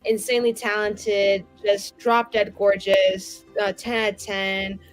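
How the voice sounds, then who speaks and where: A woman's voice: medium pitch, little pitch movement, a little slow, faint music behind, rough recording. A woman chatting casually as a player on a tabletop roleplaying stream, playfully boastful with theatrical emphasis. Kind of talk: casual chat.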